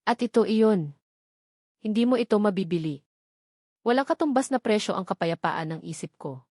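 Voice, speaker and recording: A woman's voice: medium pitch, animated, normal speed, darkish tone, dry recording, clean studio sound, close to an everyday voice. A woman storyteller voicing a cold, defiant single mother who speaks formally and firmly in a dramatic story. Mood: firm, angry.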